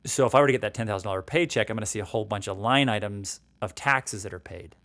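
A man's voice: on the low side, fast talker, fairly bright tone, dry recording. A man in his forties explaining retirement savings and taxes in a conversational way, often checking in with "right?" and restarting sentences. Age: 40s. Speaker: financial educator.

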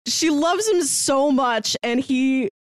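Expressive woman chatting on a podcast. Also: sits high, slow pace, no room sound, clean studio sound. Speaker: podcast host. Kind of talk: casual chat about a manga.

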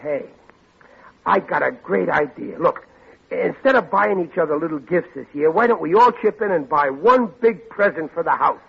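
Blustery stubborn old-time radio sitcom dad, high husky voice, folksy bad grammar, muffled old recording. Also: male voice, expressive, moderate pace, full character voice. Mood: blustering, eager. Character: blustering, stubborn, scheming middle-aged family father. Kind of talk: 1940s radio sitcom acting.

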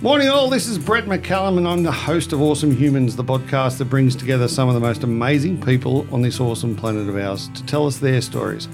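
A man's voice: mid-range voice, fast, hardly any pauses, over music. An Australian man hosting a podcast interview, chatty and jokey in a casual, upbeat way. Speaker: podcast host.

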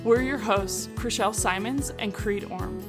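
A woman's voice: mid-range voice, a little slow, over loud music. A woman co-hosting a podcast, enthusiastic as she reacts to her guests and asks questions. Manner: enthusiastic and warm-hearted. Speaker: podcast co-host. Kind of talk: podcast intro and interview.

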